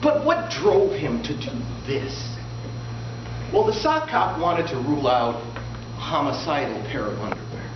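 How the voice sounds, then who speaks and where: Slow, theatrical male storyteller, swooping pitch. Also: big pitch swings. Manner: theatrical, deliberate pauses for punchlines. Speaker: storyteller in a tall-tale contest. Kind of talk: comic storytelling performance to a live audience. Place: indoor room before a live audience, recorded from afar. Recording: roomy, distant, muffled live recording with loud noise.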